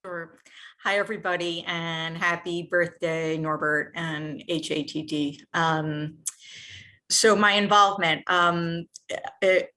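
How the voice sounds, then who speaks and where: A woman from an Israeli American background speaks at a very unhurried pace in a slightly low register. She is earnest and halting, often stopping to find the next phrase, as she offers personal reflections at an online gathering. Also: little pitch movement, bright, forceful tone. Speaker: volunteer speaker at a nonprofit's online celebration. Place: online video event.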